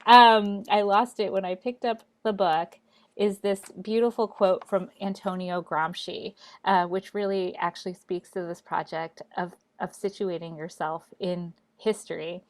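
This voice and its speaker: A woman co-hosting a discussion, speaking in a measured way with some fillers and reading a quote aloud. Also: medium pitch, steady tone. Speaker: co-host. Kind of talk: conversational discussion.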